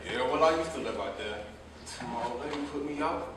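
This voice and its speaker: A man's voice: mid-range voice, animated, a little fast, natural voice. A man in a staged play reading playing a broke American hotel worker, street-casual and wheedling. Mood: cocky, aggrieved. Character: broke, cajoling male hotel worker thrown out by his woman. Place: indoor theater stage, recorded from afar. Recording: echoey stage, distant mic, noisy.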